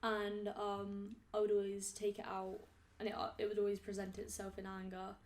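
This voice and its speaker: Flat-toned young woman, thoughtful. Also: mid-range voice, moderate pace. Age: late teens. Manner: thoughtful, chimes in, trails off with likes. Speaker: group member, guest. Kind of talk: casual group discussion. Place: meeting room.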